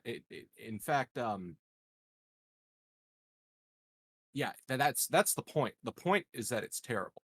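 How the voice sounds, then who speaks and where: A man playing a deadpan, scheming political candidate in a tabletop game, speaking hesitantly and with sly politeness. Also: mid-range voice, heavily acted. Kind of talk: improvised tabletop roleplay.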